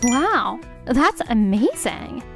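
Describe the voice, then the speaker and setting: Woman playing young designer, high-pitched, sweet, eager, admiring, manga dub, soft music behind. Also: very animated, very slow, vocal fry, heavily acted. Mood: amazed. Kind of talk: anime-style manga dub acting.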